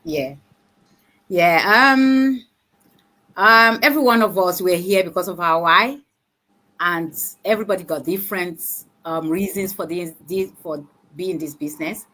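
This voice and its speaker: A woman's voice: lowish voice, unhurried, sharp tone, poor audio. A woman on a live stream panel telling her own story in an earnest, hesitant way with many fillers. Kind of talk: personal testimony in a live stream.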